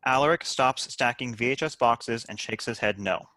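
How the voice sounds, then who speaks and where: A man's voice: medium pitch, moderate pace, pushed and bright, no room sound. A man reading out stage directions as scripted narration for a screenplay table read.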